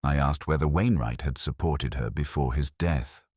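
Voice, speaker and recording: A man narrating a scripted first-person detective story in a measured, formal, literary manner. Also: very deep voice, a little mellow, breathy edge, no room sound, studio quality.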